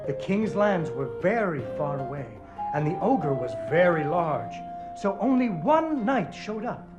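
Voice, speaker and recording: An actor playing a playful father in a video game, telling his daughter a fairy tale in an expressive storyteller's delivery. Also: sits high, unhurried, dull audio. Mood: playful storytelling. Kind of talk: animated bedtime-story narration in a video game. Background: guitar music.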